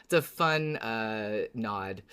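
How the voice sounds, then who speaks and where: A podcast co-host with a medium-pitched voice talks in loose, informal fan chat. The delivery is self-deprecating, with casual swearing. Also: expressive, very slow, fairly bright tone, smooth. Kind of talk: casual fan discussion of an audio drama.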